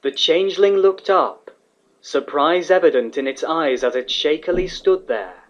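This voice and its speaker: A man's voice: a little high, unhurried. A man reading scripted fantasy fiction as the narrator. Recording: slightly dull, lo-fi recording.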